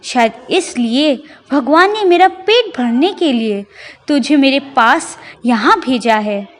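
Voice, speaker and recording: A woman voicing a hungry, threatening male lion in a children's story, with slow, deliberate menace. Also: sits high, expressive, big-room sound. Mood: hungry, menacing.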